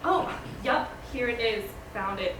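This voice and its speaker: A woman's voice: a little high, expressive, unhurried. A woman playing a lovestruck, easily flustered young student in a screenplay table read. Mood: flustered, awkward. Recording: distant, echoey room with background noise.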